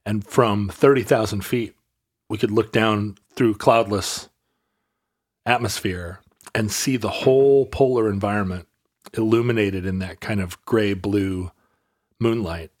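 A man's voice: lowish voice, a little slow. A man from Alaska telling a long, rambling anecdote in casual conversation, full of restarts and wry asides. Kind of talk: casual chat, storytelling.

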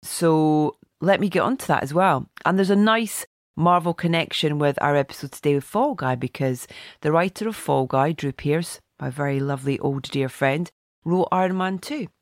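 Slightly croaky woman podcast host. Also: sits low, normal speed, no room sound, clean studio sound. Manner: chatty, warm asides, reads listener email. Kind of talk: casual podcast chat.

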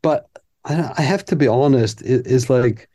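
Man, composer guest; warm, relaxed tone, candid and reflective, talks things through as he goes. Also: medium pitch, moderate pace. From Iceland. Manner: candid, reflective, thinks aloud. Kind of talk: casual interview chat.

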